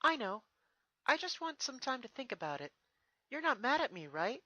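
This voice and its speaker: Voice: high-pitched, big pitch swings, normal speed, bright, forceful tone, dry recording, pitched above the performer's own voice, exaggerated voice. A performer voicing an earnest, eager young female pony princess in a fan fiction reading. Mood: earnest, grateful.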